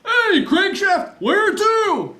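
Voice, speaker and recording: A performer voicing a casual, squeaky cab driver in a live noir comedy radio play. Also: very animated, extremely slow, bright, forceful tone, full character voice. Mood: nonchalant. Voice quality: squeaky put-on voice. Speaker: supporting actor in live radio serial. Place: small theater stage, live audience. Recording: noisy live stage recording with clicks.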